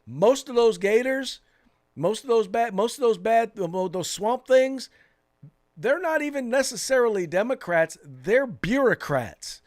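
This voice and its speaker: Animated American man talking politics. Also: very high voice, a little slow. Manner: emphatic, repeats phrases for stress. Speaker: political talk show co-host. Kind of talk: opinionated political commentary chat.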